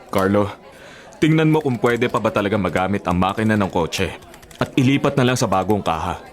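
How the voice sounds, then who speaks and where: An actor with a Filipino accent playing a skeptical, authoritative racing team chief in a radio drama. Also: male voice, fairly low pitch, animated, fairly quick, lightly acted. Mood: serious, directive. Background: music.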